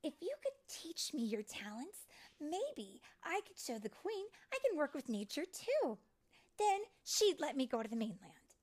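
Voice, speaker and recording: Storybook fairy, young female played by a woman reader: high, animated, emphatic, stubborn, quick to frustration. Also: full character voice. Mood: eager, pleading. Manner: emphatic, impassioned.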